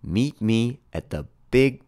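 A man puts on an affectionate letter carrier lady from a picture book. The reading is slow and sincere, with plenty of movement in pitch. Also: expressive, mellow. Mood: tender, hopeful. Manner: affectionate, earnest. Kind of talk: storybook character read-aloud.

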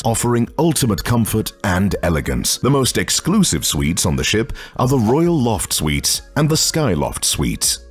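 A male narrator with a low, husky voice delivers polished scripted narration. His intonation rises and falls in wide, animated swings. Soft music plays beneath him. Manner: polished voice-over with lively, rising and falling delivery.

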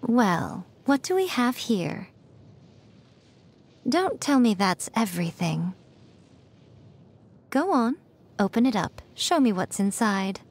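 An actress playing a sly, haughty shrine maiden trickster in a video game, teasing, smug and coy. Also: female voice, mid-range voice, very animated, slow, pauses often, husky, exaggerated voice. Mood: smug, amused. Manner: smug, coy, drawling amusement. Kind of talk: English video game character voice lines.

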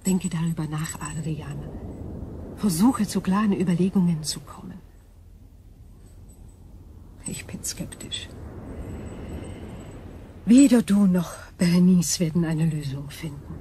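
Ancient crystal mind in a radio play, mellow n breathy, calm, formal, measured, bit of background noise. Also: female voice, fairly low pitch. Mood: grave, analytical. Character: ancient female super-crystal intelligence, calm, probing, wary. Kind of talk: radio drama acting.

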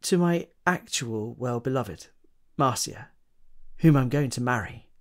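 A man's voice: mid-range voice, animated, a little slow, lightly acted. A man playing an eager, lovestruck young sculptor in a period audio drama.

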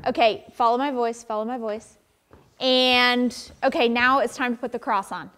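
A young woman pastor speaks to children in a high, bright voice. Her delivery is animated and friendly, with lots of simple questions and encouragement. She sounds like she's in a church sanctuary, picked up by a camera across the room. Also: moderate pace. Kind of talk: children's sermon. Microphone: far camera.

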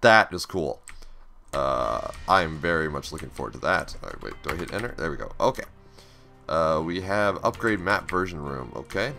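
A man hosting a casual game playthrough, chatty and upbeat, slightly raspy from screaming the day before. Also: very deep voice, lively intonation, normal speed, bright, forceful tone, faint music behind. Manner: chatty, reads on-screen text aloud, upbeat. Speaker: gaming channel host. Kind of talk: casual let's play commentary.

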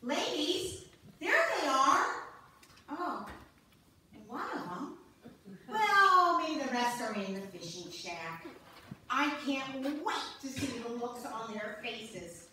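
A woman's voice: high voice, lively intonation, takes it slowly, pauses often, pushed and bright, full character voice. A woman acting a confident, mischievous wife in an amateur stage play reading. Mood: gleeful. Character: confident, mischievous wife crashing husbands' fishing trip. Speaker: amateur reader's theater performer. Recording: echoey church hall from far off, faint noise.

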